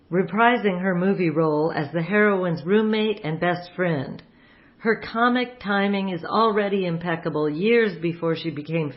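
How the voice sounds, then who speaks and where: A woman hosting a radio program, reading a scripted introduction. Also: on the low side. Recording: slightly muffled voice recording.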